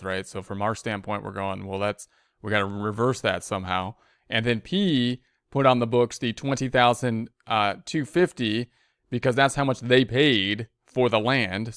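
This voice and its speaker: Guy doing a casual how-to lesson, smooth clear voice, talks it through out loud, close dry mic. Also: medium pitch, dry recording. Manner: conversational, thinks aloud with rhetorical questions. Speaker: accounting instructor. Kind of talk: informal screen-share tutorial explanation.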